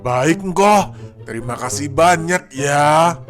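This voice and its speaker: A man voicing a concerned, firm but frail elderly cleric in a cartoon, with deliberate, polite speech. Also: on the high side, lively intonation, fairly bright tone, soft background music. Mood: grateful. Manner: deliberate, polite, pious exclamations. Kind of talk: animated cartoon dialogue.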